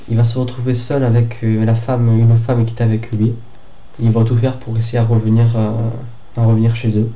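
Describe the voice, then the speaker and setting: Young man with a cold, dark flat voice. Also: mid-range voice, slight background noise. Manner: hesitant, lots of fillers and restarts. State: has a head cold. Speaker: book vlogger. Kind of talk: casual book review vlog.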